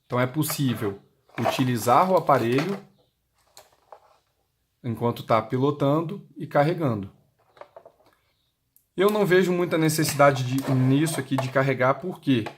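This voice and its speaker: A man's voice: medium pitch, normal speed, long pauses. Brazilian man demonstrating, noisy recording. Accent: Brazilian Portuguese. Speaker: intercom seller giving a product demonstration. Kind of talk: unscripted explanatory tutorial, demonstrating step by step. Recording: clearly noisy recording with clicks.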